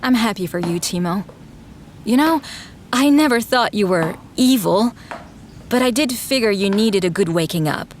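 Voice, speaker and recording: Lady acting patient engineer woman, medium pitch, calm n reassuring, bit dry, audio drama, noisy background. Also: normal speed, lightly acted. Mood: forgiving. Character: patient, frank, gently teasing woman engineer.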